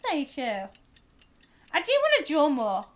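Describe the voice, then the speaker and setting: A woman chatting casually and excitably while livestreaming a game, in a bubbly, giggly manner. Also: high voice, unhurried. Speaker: live streamer. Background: game music.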